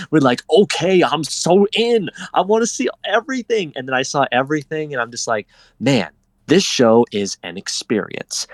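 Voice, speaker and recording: A man's voice: medium pitch, dry recording. Guy gushing like a big fan, playful, casual, really dry recording. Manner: effusive, playful exclamations. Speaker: fan guest on a panel discussion. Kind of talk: casual enthusiastic fan chat.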